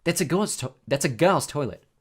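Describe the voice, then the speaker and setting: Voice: mid-range voice, animated, brisk, slightly rough. A narrator voicing a blunt, hot-tempered schoolboy who talks in a tense, defensive way, in an audiobook story. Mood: gruff.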